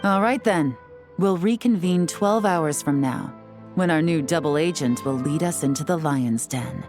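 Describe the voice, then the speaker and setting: A cool female spymaster with a very low voice. She speaks with composed, wry authority in game dialogue, over clearly audible music. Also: unhurried. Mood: confident. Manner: composed, smooth, wry, in command. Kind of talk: video game voice acting.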